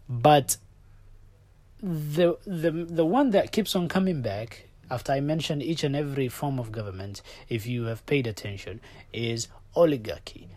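Man, native Somali speaker, solo podcast host; opinionated, jumps between loud jabs and quiet asides, dry voice. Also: no room sound. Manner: opinionated, swings from loud outbursts to low muttered asides.

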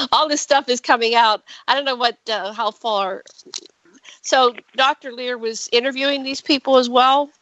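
A woman in her sixties hosting a radio interview, chatty and quick to jump in. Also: sits high, fairly bright tone, dry recording, highs cut off. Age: 60s. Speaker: radio host.

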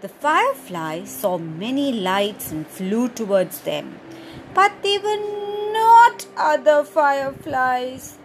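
A woman hosting a children's storytime podcast, reading a picture book aloud in an animated, sing-song way and adding playful sound effects. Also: sits high.

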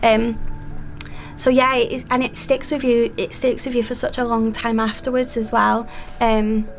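A woman's voice: a little high, level tone, normal speed, faint music behind, poor audio. A woman, a midwife guest, speaking earnestly with frequent ums. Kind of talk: interview conversation.

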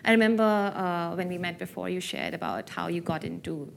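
A woman's voice: lowish voice, a little fast, creaky, a little hiss or hum. A woman hosting a small-business podcast, introducing her guest and asking friendly, encouraging questions. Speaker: podcast host. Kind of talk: podcast interview.

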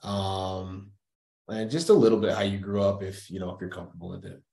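This is a man hosting a podcast interview, speaking in a low register. He asks his questions in a relaxed, hesitant way, full of fillers. The audio has a roomy echo. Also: fairly quick, creaky. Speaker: podcast host. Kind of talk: casual interview. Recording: echoey room.

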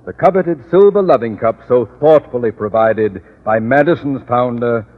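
Casting: actor as officious, irascible male principal, husky, swooping delivery, vintage radio sitcom, tinny sound. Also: medium pitch, lively intonation, moderate pace, natural voice. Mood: pompous. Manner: pompous, long-winded, orotund. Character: pompous, autocratic, short-tempered male school principal. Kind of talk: 1940s-50s radio comedy acting. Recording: tinny, narrow old radio recording.

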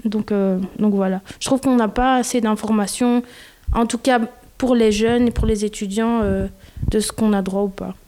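A woman's voice: medium pitch, fairly even intonation, moderate pace, warm tone. A woman in her twenties from Belgium, talking candidly and a little hesitantly in a casual conversation, with frequent fillers. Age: 20s. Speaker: guest.

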